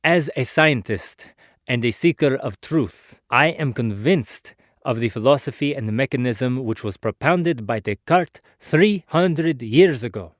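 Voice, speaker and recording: A man acts out an earnest male inventor reflecting on his life and ideas in a dramatic biography. His delivery is measured, formal and oratorical, at an average male pitch. The sound is dry, with no room echo. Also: lightly acted. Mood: reflective, earnest. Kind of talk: dramatic biography narration, formal first-person monologue.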